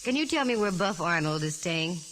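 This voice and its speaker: An actress playing a wry, self-assured, quick-witted female private eye in a 1940s radio drama. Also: lowish voice, animated, fairly bright tone. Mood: cool, casual. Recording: vintage 1949 radio recording, hiss.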